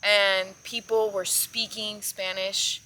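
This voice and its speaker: A woman's voice: mid-range voice, level tone, takes it slowly, sharp tone, a little hiss or hum, poor audio. A young adult woman from South Florida, a naturalist guest telling stories in a casual, chatty way with lots of "like.". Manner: chatty, filler-heavy, many "like"s. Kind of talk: casual conversational storytelling.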